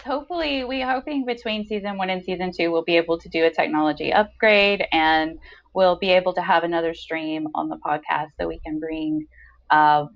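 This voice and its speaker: A woman co-hosting a podcast in casual chat, effusive and enthusiastic, on a slightly lo-fi recording. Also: lowish voice. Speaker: podcast co-host and writer.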